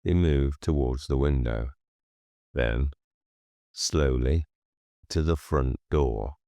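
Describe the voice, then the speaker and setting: A man narrating horror fiction for an audiobook in a measured, deliberate, hushed storytelling manner. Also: extremely low pitch, dry recording, clean studio sound.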